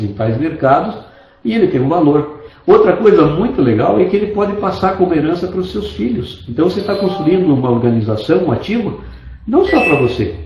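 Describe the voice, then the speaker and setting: A man's voice: a little high, mellow, echoey room, lo-fi sound. A man from Brazil giving an online business presentation as a network marketing presenter, persuasive and enthusiastic, stacking lists of points. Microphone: desktop computer mic.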